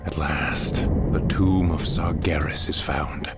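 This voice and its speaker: An actor voicing an arrogant, vengeful ancient demonic sorcerer warlord with menacing, mocking, grandiose delivery in a fantasy game cutscene. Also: male voice, a bass voice, fairly even intonation, slow, loud music behind the voice. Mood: commanding, menacing.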